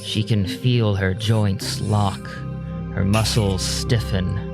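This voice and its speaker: A man giving dramatic scripted narration as a storyteller, ominous and deliberate, with pauses. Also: on the low side, steady tone, vocal fry. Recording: loud music under the voice.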